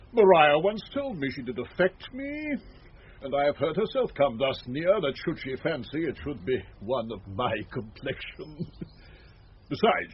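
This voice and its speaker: A dull, faintly hissy old radio recording of a pompous steward. He is vain and dreamy, savouring each word in a rather high male voice, in classic Shakespearean style. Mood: smug daydreaming. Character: pompous, self-loving steward lost in grand daydreams. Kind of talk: 1970s radio Shakespeare acting.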